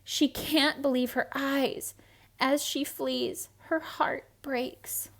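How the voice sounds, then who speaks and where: A woman reading a storybook aloud to children in a theatrical, expressive way, with hushed asides to young listeners. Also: high-pitched, slow pace, vocal fry, a little hiss or hum.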